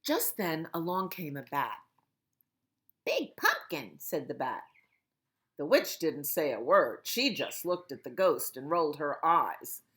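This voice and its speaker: A woman children's librarian reading a picture book aloud at storytime, slow and expressive. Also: deep voice.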